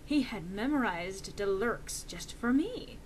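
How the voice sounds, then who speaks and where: A woman giving a dramatic, comedic reading of fan fiction, theatrical, emphatic and deliberately earnest. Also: medium pitch, animated. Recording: noisy amateur home recording.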